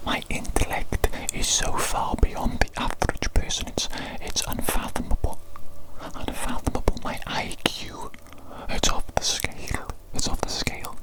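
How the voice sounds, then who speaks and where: A woman's voice: close to an everyday voice, low-quality recording. A woman whispering an ASMR roleplay as a pompous, condescending evil doctor, close to the microphone. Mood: boastful. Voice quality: whispering throughout. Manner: haughty, self-important, easily exasperated.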